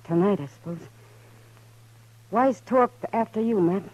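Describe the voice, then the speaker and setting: A woman's voice: medium pitch, unhurried, a little mellow, lo-fi sound, natural voice. Actress playing frontier saloon woman, American accent, worldly, watchful, unfussy, confiding, 1950s radio western. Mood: concerned.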